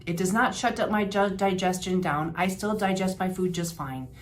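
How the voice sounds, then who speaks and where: A woman's voice: lowish voice, moderate pace, slight background noise. An older woman, a health practitioner giving casual wellness advice in a homey, chatty way with fillers and restarts. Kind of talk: informal health advice talk to camera.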